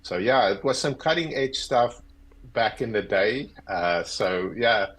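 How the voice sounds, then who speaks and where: A man's voice: on the low side, animated, unhurried, fairly bright tone, slightly rough. A South African man in his fifties, a guest talking about his career in an easygoing, self-deprecating, rambling way over earbuds on a video call. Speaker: guest, agile coach. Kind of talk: casual interview chat.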